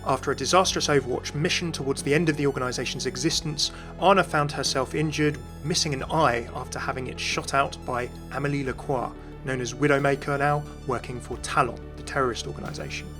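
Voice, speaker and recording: A man's voice: mid-range voice, steady tone, a little fast, few pauses, music in the background. A British man hosting a video, reading scripted game commentary and narration. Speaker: video host.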